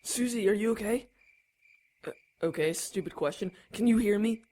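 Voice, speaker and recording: A woman's voice: mid-range voice, normal speed, mellow, close to an everyday voice. A woman acting an anxious, earnest, protective teenage brother in an audio drama, hesitant yet urgent. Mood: worried, awkward. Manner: hesitant, urgent, literal-minded.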